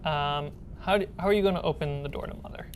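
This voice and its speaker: A woman's voice: sits low, quick pace, slight background noise. A woman running a tabletop game as game master, describing scenes in a casual, improvised way.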